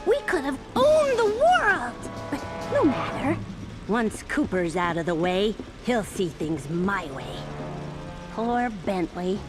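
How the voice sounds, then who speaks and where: An actress playing a smug, brainy, greedy young villainess in a cartoon game, sly and condescending. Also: female voice, mid-range voice, sing-song, slow, music in the background. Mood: smug, ambitious. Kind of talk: cartoon video game acting.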